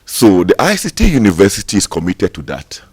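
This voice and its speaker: A man speaking as a university representative in a TV interview, emphatic and promotional, pausing often with fillers. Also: low-pitched, wildly swooping pitch, husky, dry recording. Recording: dry studio sound.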